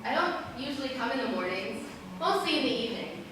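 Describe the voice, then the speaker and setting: High-voiced wry, curious girl acted by a woman. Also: big pitch swings, fast. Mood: friendly, probing. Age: teens or young adult. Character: curious, wry, searching girl who comforts forest visitors. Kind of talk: school stage play acting. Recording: echoey stage from far off, noisy.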